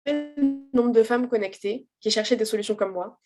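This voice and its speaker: A woman giving a personal testimonial as a guest, speaking candidly and informally in a halting, emphatic way. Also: a little high, over loud music. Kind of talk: casual personal storytelling.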